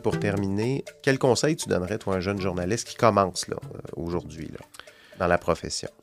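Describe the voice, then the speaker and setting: A man hosting an interview and asking measured questions. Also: sits low, gravelly, music in the background. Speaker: interviewer host.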